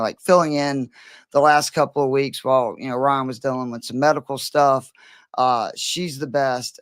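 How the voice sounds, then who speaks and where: A man's voice: mid-range voice, a bit bright, no echo, studio quality. A man hosting a golf betting podcast, chatting casually with joking, profane banter. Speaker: podcast host.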